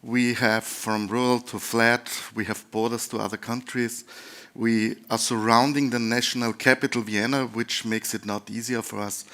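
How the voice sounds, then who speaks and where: Man, Austrian accent, mid-pitched, informal and chatty, conference talk. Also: little pitch movement, normal speed, a bit bright. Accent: Austrian German. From Lower Austria. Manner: chatty and informal, with light humor. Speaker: conference speaker, dispatch center representative. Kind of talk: conference presentation.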